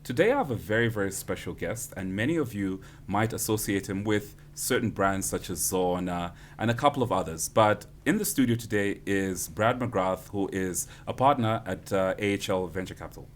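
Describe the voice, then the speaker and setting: A man's voice: on the low side, expressive, normal speed, hardly any pauses, a little hiss or hum. A man hosting a studio interview show, eager and affirming, often saying "absolutely.".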